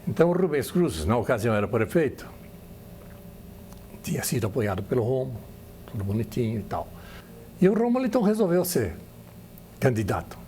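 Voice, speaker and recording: A Brazilian man recalling the past casually, in an animated, halting storytelling manner. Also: mid-range voice, a little hiss or hum. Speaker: radio veteran being interviewed. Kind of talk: casual recollection.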